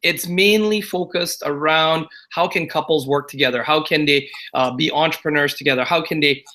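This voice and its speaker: A man in his forties, originally from Singapore, speaks as an interview guest with a bright, forward voice pitched a little high. He talks in a loose, confiding way, stacking "you know" and "right?" as he goes. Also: normal speed. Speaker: guest entrepreneur. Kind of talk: casual interview talk.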